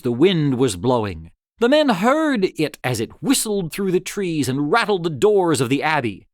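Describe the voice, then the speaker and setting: A man reading an old tale as scripted narration, in a dramatic, expressive storytelling manner. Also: mid-range voice, no echo, clean studio sound. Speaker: story reader.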